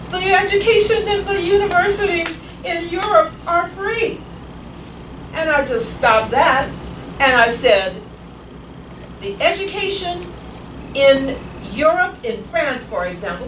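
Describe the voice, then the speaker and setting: A grandmother in her sixties telling a rambling personal story at a community meeting, growing emphatic as she goes. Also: sits high. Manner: rambling, grows emphatic and forceful. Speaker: meeting attendee. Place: meeting room. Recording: echoey room, far from the mic, loud noise.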